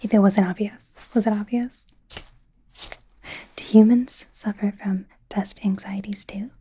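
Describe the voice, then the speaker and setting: A woman's voice: medium pitch, fairly even intonation. Woman acting a whispering, nervous young werewolf medic. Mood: nervous, self-doubting. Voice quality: soft, breathy whisper. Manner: hesitant, halting, nervous. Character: young female werewolf medical student, anxious, earnest, apologetic. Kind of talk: whispered ASMR roleplay.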